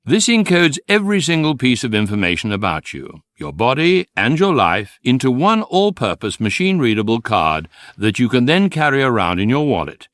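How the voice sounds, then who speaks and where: A British man playing a wry electronic guidebook, narrating comic science fiction with measured, ironic delivery. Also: medium pitch, fairly bright tone, no room sound. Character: wry electronic guidebook, calm male narrator, drily amused.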